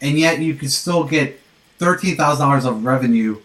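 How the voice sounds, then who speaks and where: A man with an American accent, an interviewer and course instructor, talking informally and rephrasing haltingly as he goes. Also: medium pitch, a bit bright, poor audio.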